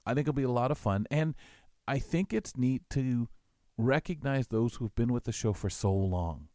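A man's voice: medium pitch, normal speed, dark tone, no echo, studio quality. A man hosting a radio show, talking to listeners in a casual, reflective, rambling way. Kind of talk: casual spoken commentary to listeners.

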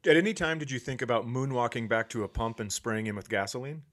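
A man's voice: mid-range voice, fast talker. A man hosting a comedy podcast, chatting casually and jumping in with teasing, profane riffs.

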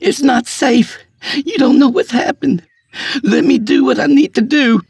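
Dude doing a freaked out young woman, high and loud, yelling at cops. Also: a little slow, gravelly, breathy edge, heavily acted. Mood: frantic. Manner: frantic, pleading, shouted. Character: desperate young woman reporter, frantic.

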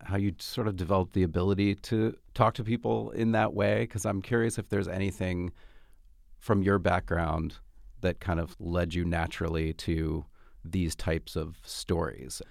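Deep, gravelly male interviewer. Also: steady tone, moderate pace, darkish tone, no room sound, studio quality. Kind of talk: interview questions.

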